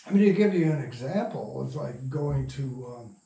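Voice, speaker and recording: A man's voice: a little high, lively intonation. Older man rambling, echoey distant recording. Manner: halting, self-interrupting, informal with salty words. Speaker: interviewee, radio drama producer. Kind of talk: rambling anecdotal storytelling in an interview. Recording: echoey, distant mic, faint noise.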